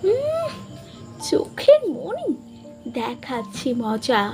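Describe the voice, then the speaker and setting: Woman acting spiteful, high-voiced scheming young queen. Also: wildly swooping pitch, drawn-out words, music in the background, heavily acted. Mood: sneering. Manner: scolding, sneering, indignant. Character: spiteful, hot-tempered younger queen, jealous scheming stepmother. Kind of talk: Bengali fairy-tale cartoon acting.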